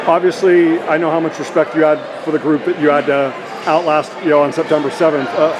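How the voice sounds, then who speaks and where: Fast-talking man with rambling questions. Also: on the high side, little pitch movement. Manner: long rambling questions full of fillers. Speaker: sports interviewer. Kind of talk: postgame interview. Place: indoor arena hallway. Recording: noisy background.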